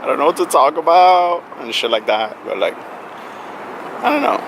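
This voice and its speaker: A man's voice: on the high side, big pitch swings, moderate pace, gravelly, a bit bright, slight background noise, low-quality recording. A young man chatting casually in a tattoo shop, laid-back and a bit awkward. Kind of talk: casual chat.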